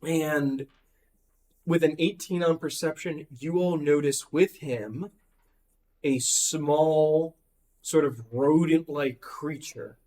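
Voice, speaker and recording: Brief: hoarse man running a tabletop game, slow, halting, voice somewhat high. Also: fairly even intonation, mellow, rough recording. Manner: halting, full of ums and likes. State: hoarse, losing his voice. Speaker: game master.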